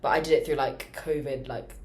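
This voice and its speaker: A woman's voice: extremely low pitch, fairly even intonation, fairly quick, darkish tone, slight background noise. Woman, late teens, English accent, casual podcast chat, chatty and informal, student co-host. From Birmingham, England.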